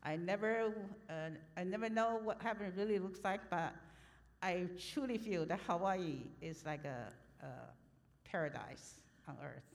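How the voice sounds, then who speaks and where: A woman's voice: lowish voice, lively intonation, measured pace. A woman interpreting a sermon into English, hesitant and self-correcting with many fillers. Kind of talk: consecutive church interpreting. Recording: long hall reverb.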